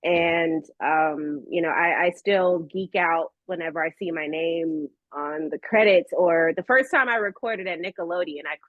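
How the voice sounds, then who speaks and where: A woman's voice: fairly low pitch, a little slow, few pauses, dry recording. A woman chatting casually as an interview guest, candid and enthusiastic in her manner. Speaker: guest, voice actress. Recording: dry, slightly dull audio.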